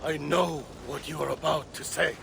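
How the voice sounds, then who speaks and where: An actor playing a troubled warrior son of a Norse god in a video game, with a gravelly, halting delivery. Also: male voice, on the high side, fairly even intonation, background noise. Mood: troubled, hesitant. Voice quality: very gravelly, creaky. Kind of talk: dramatic video game acting.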